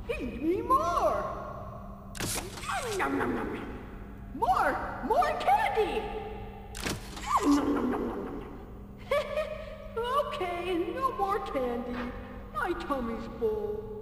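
A woman's voice: very high voice, wildly swooping pitch, very slow, pauses often, background noise, full character voice. A woman voicing a greedy, childish toy cat that begs for candy. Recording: echoey game audio with far reverb, music.

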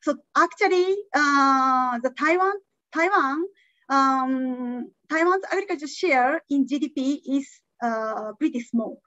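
A woman's voice: high voice, slow pace, bright, forceful tone, no echo, highs cut off. A woman, not a native English speaker, giving an academic conference talk over a video call, with many hesitant fillers. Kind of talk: online academic presentation. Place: indoor room.

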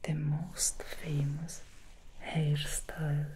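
A woman's voice: deep voice, flat delivery, dark tone, slight background noise. A woman whispering as an alluring demon stylist. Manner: slow, teasing and alluring. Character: seductive female demon hair stylist from hell. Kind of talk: ASMR roleplay. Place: indoor studio set. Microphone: close ASMR microphone.

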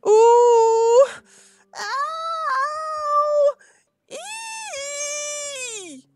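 A woman voicing a frantic storybook man who yelps and wails in drawn-out cries as a flea bites him. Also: very high voice, animated, pitched above the performer's own voice, heavily acted. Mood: pained, frantic. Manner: wailing, drawn-out exclamations.

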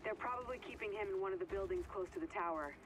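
An actor playing an alert, businesslike mission guide briefing over a radio in a game. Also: male voice, high-pitched, very fast, lightly acted. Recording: radio transmission under loud game noise.

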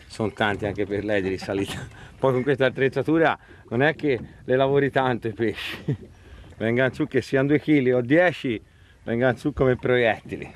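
A man's voice: mid-range voice, normal speed, a little hiss or hum. A man hosting a fishing show, chatting casually on a small boat at sea and coaching his fellow angler.

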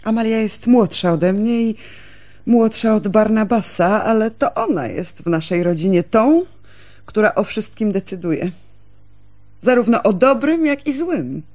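An actress plays a young village woman, frank and devoted to her family. She speaks at a medium pitch, explaining in a confiding, measured manner suited to a radio drama. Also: lively intonation, a little mellow, natural voice.

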